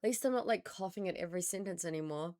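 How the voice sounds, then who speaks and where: A woman streamer with a hoarse, raspy voice, recovering from losing it, chatting casually with her live chat and greeting viewers by name. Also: fairly low pitch, quick pace, darkish tone. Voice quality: raspy, slightly gravelly.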